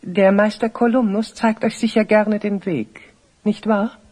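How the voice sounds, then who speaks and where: An actor playing a stern, pompous village priest with a haughty, formal delivery in a children's audio drama. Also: male voice, sits high, fairly even intonation, unhurried, darkish tone. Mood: formally apologetic.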